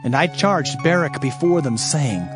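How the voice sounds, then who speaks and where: An actor playing an earnest Hebrew prophet, solemn and emphatic in a dramatized scripture reading. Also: male voice, fairly high pitch, expressive, measured pace. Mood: earnest, recounting. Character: earnest male Hebrew prophet proclaiming oracles and recounting events. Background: music.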